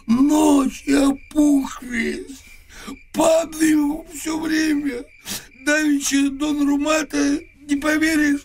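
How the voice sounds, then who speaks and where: An actor playing a drunken, maudlin old inventor who rambles and complains in a slurred, wailing, theatrical way in a radio drama. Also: male voice, extremely high pitch, a little hiss or hum, exaggerated voice.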